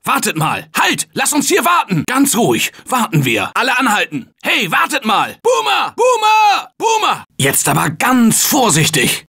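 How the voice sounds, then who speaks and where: A male actor playing a confident, energetic survivor in a German video game dub, shouting warnings and calling directions. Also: very high-pitched, a little slow, few pauses, breathy edge, heavily acted. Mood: urgent. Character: upbeat male office worker survivor, confident. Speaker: game character.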